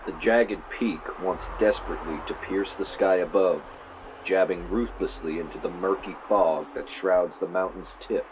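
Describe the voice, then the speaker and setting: A muffled field tape carries a male podcaster with a fairly low voice. He narrates like a campfire storyteller in an audio drama, theatrical with wry asides. Faint vehicle noise sits behind his clip-on mic. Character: male podcaster dramatically recording a spooky true-crime investigation. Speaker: podcast host character. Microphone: mic clipped to shirt.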